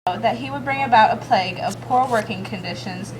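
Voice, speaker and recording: A woman's voice: fairly high pitch, a little fast. A woman narrates a puppet show in a scripted fairy-tale storytelling style, on an amateur recording. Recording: clearly noisy amateur recording.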